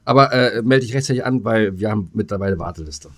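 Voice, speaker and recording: A man's voice: lowish voice, fast, fairly bright tone, dry recording. A man co-hosting a podcast in casual comedic banter, rambling, repeating his phrases and joking dryly. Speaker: podcast co-host.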